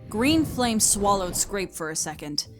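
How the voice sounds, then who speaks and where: A woman serves as narrator of a scripted comic story. She reads expressively at a middling pitch, with a musical bed behind her voice. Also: very animated, a little slow, soft background music.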